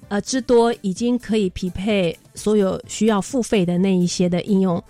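A woman's voice: mid-range voice, steady tone, moderate pace, no room sound. A woman with a Taiwanese Mandarin accent, a music teacher explaining things point by point as an interview guest. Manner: explains point by point with softening particles. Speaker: high school music teacher, radio guest.

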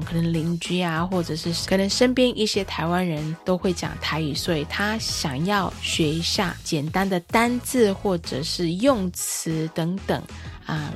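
A woman from Taiwan hosting a podcast, chatty and self-deprecating, reading scripted narration that moves between English and Mandarin. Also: lowish voice. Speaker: podcast host.

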